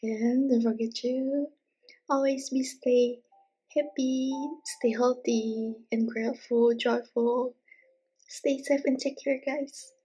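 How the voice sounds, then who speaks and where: A young woman speaks to her viewers in a soft ASMR style. Her voice is breathy and fairly high, with little rise and fall, often slipping toward a whisper. She is recorded up close. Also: steady tone, slow, rough recording. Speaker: mukbang host. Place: small indoor living room, at a table.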